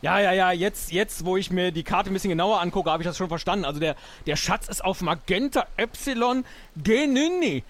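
Man, very high for a male voice, lively pitch swings, improvising an adventure role and thinking aloud. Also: a little fast. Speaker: guest player. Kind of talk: improvised audio-play role-play.

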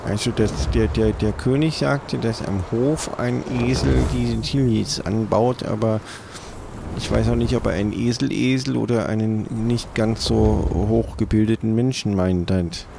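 A man playing a halting, bumbling pirate adventurer with a gravelly voice in an improvised audio play. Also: lowish voice, steady tone, noisy background. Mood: puzzled.

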